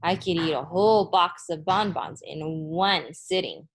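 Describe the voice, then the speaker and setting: A woman's voice: on the low side, a little slow, a little hiss or hum, pitched below the performer's own voice. A woman reading a children's storybook as a candid, treat-loving woman teacher who is matter-of-fact about her laziness. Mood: relishing. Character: frank, lazy, treat-loving woman second-grade teacher. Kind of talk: children's storybook read-aloud.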